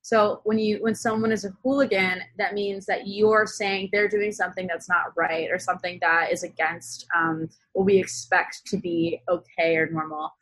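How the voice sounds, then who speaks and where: Halting young woman, dry close sound. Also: medium pitch, fairly even intonation, fairly bright tone, no room sound, poor audio. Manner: halting, circling back to rephrase, analytical. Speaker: interview guest, zine co-founder. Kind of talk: casual conversational interview.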